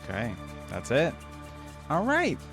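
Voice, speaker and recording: A man's voice: fairly high pitch, huge pitch swings, slow, creaky, fairly bright tone, soft background music. A man hosting a casual reaction video, thinking aloud in an informal, rambling way. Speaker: YouTube reaction host.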